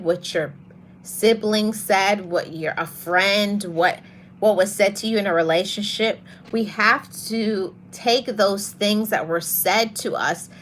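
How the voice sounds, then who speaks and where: A woman hosting a motivational podcast, talking to her audience in a soft voice and repeating her key phrases for emphasis. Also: mid-range voice, slight background noise. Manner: greets live viewers mid-talk. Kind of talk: live-streamed motivational talk.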